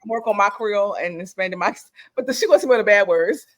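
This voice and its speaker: A woman's voice: medium pitch, fairly quick. Playful woman co-hosting a podcast. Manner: playful, laughs easily, self-described messy. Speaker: podcast co-host. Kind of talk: casual chat.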